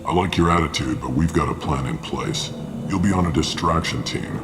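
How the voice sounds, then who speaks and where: An actor playing a gruff, businesslike mercenary recruiter in a video game, blunt, unimpressed and matter-of-fact. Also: male voice, a bass voice, moderate pace, in a large hall. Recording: loud music behind the voice. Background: loud music.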